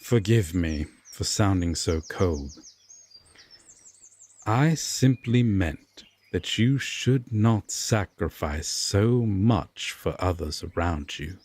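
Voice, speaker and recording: A man playing an aloof, stern yet secretly tender Fae king in a roleplay audio drama, speaking formally and deliberately with long pauses. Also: deep voice, expressive, a little mellow, heavily acted. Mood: stern, cautioning.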